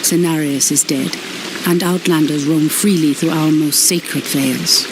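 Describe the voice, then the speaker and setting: A woman's voice: deep voice, fairly even intonation, unhurried, noisy background. A woman voicing a proud, fierce elf priestess in a game cutscene, with a scornful edge. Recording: voice over loud music.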